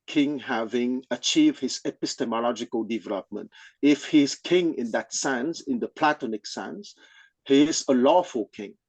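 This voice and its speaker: Halting, explanatory man, close dry sound. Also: mid-range voice, a little mellow, no room sound. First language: not English. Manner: explanatory, halting, checks with "okay?". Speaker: study group participant. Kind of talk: informal scholarly discussion.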